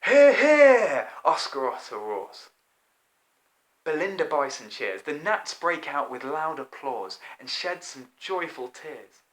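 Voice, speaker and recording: A man in his twenties reading a rhyming children's story in an expressive, deliberate, theatrical way. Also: mid-range voice, poor audio. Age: 20s. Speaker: author reading own children's book. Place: small plain room indoors.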